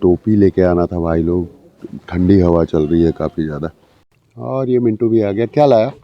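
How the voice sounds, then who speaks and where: A man's voice: sits low, moderate pace. An Indian man talking casually and informally to his viewers as a motorcycle travel vlogger, recorded outdoors. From rural India. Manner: informal, addresses viewers as brothers. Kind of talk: casual vlog talk to viewers. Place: outdoors, village roads and roadside eatery. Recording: muffled, dull sound with outdoor noise.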